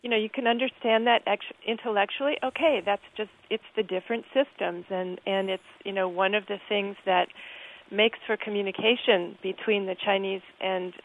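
A woman's voice: medium pitch, fairly even intonation, normal speed. Woman on a tinny phone line. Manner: thoughtful, with frequent fillers and restarts. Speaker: guest author. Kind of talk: interview conversation.

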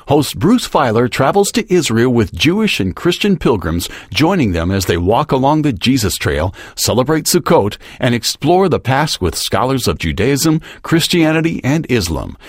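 A man's voice: medium pitch, big pitch swings, moderate pace, few pauses, no room sound, studio quality. A man with an American accent reading a scripted promo as a station announcer.

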